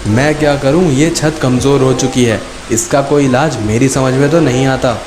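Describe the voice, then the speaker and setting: A man's voice: mid-range voice, fairly even intonation, normal speed, noisy background, close to an everyday voice. A man playing a simple, anxious village farmer, a helpless and resigned husband. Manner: helpless, addresses his wife fondly.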